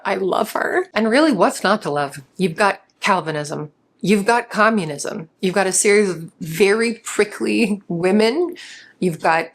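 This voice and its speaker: A woman's voice: fairly low pitch, a little hiss or hum. A woman book reviewer delivering a scripted monologue with wry, playful asides.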